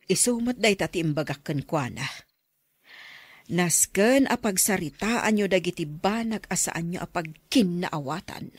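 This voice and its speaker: A woman's voice: fairly low pitch, expressive, measured pace, slightly rough, no echo. A woman playing a concerned middle-aged mother who gives counsel, in an Ilocano radio drama. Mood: gentle, advising. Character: concerned mother counselling a quarrelling married couple.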